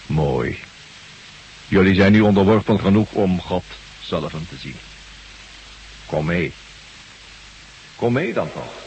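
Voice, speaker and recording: A zealous servant of the gods, low-voiced and solemn, preaching with command. He is heard in a radio drama on an old recording with clear background noise. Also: lively intonation, slow, pauses often, a little mellow, highs cut off, heavily acted. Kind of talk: Dutch radio play acting.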